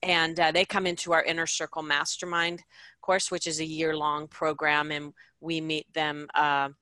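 A woman's voice: low-pitched, flat delivery, moderate pace, bright, forceful tone, smooth, no echo. A middle-aged woman answering interview questions, explaining her business plainly. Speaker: guest, speaker coach.

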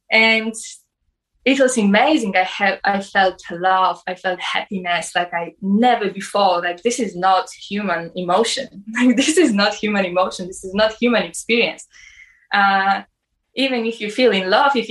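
A woman's voice: medium pitch, a bit bright, low-quality recording. Young woman, personal testimony, hesitant, filler-heavy. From Poland. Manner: halting, many "like" fillers.

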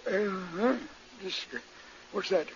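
A man's voice: sits high, slow, a little hiss or hum. A man voicing a doddering, nosy old morgue attendant who rambles in a folksy, dim-witted way, in an old-time radio drama. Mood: dim, curious. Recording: dull vintage radio drama recording.

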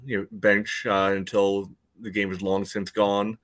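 A man on a sports podcast talking casually and haltingly, often dropping in "you know". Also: fairly low pitch, flat delivery, a bit bright. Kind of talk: casual soccer discussion.

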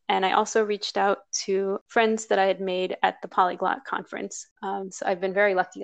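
A woman hosts a casual podcast conversation, upbeat and chatty. Her voice carries a gravelly edge of vocal fry. The sound is dry and close, with no room around it. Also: medium pitch, no echo. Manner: upbeat and chatty, laughs easily. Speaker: podcast host.

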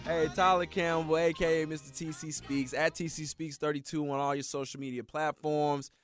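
A man's voice: a little high, little pitch movement, moderate pace, faint music behind. A man hosting a motivational talk show, preaching in a hyped, repetitive way. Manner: preachy, hyped, repeats "yeah, yeah, yeah" and "yo". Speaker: motivational radio host.

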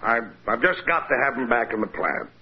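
An actor playing a flustered, exasperated factory boss in a 1940s radio comedy, with an emphatic, sputtering way of complaining. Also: male voice, medium pitch, sing-song, fairly quick. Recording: noisy muffled vintage radio recording.

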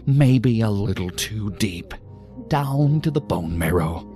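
Guy doing a creepy talkative intruder for an audio drama, real low voice, mocking, deadpan jokes, music behind. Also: takes it slowly. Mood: taunting, playful. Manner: taunting, rambling, deadpan-joking. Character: menacing, chatty male intruder with dark sardonic humour. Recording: music under the voice.

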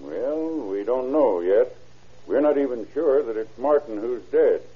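An actor with an American accent playing a calm, methodical small-town lawman in an old-time radio drama, matter-of-fact and terse. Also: mid-range voice, a little slow, close to an everyday voice. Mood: grave. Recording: muffled, husky-sounding old radio recording.

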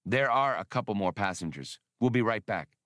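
A man voicing a bold, hot-tempered space adventurer in a storybook, brisk and plain-spoken. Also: on the low side, animated, fairly bright tone, no room sound, clean studio sound. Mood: decisive.

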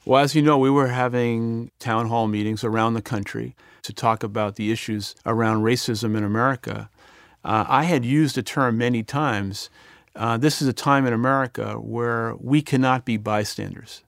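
A man's voice: medium pitch, fairly even intonation, moderate pace, creaky, dry recording, studio quality. A middle-aged man speaking earnestly as an interview guest, with some fillers. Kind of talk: reflective conversation.

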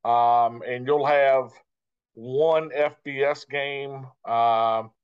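A man with a mid-range voice, heard through slightly dull, lo-fi audio. He is a broadcaster giving conversational interview answers. His delivery is measured and reflective, broken into short phrases with pauses. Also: mid-range voice, steady tone, smooth. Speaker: guest, radio play-by-play broadcaster. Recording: dull, lo-fi sound.

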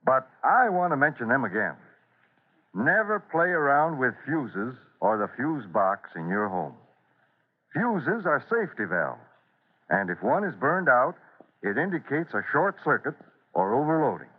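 A man playing a fatherly, authoritative deputy fire chief with a husky voice in a children's radio serial. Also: mid-range voice, very animated, a little slow. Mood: earnest, instructive. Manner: urgent, instructive, authoritative. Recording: thin, narrowband old radio recording.

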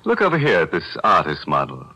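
A man's voice: low voice, huge pitch swings, brisk, pushed and bright, low-quality recording. A man hosting a 1940s radio horror show, cracking grim puns with sly chuckles, on an old broadcast recording with music. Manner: macabre punning with sly chuckles. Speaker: horror anthology host.

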